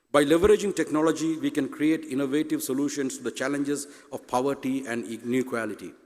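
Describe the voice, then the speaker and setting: A man's voice: fairly high pitch, darkish tone. A middle-aged man with a Sri Lankan accent, a native Tamil speaker, gives a formal prepared speech as a conference speaker on stage. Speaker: university president, conference speaker. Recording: echoey hall with long reverb.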